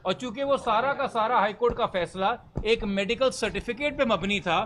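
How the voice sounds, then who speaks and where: High-pitched Pakistani man, formal and emphatic. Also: normal speed, a bit bright, slight background noise. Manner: articulate, formal, emphatic, mixing in English legal terms. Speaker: lawyer speaking to reporters. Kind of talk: press statement answering reporters' questions.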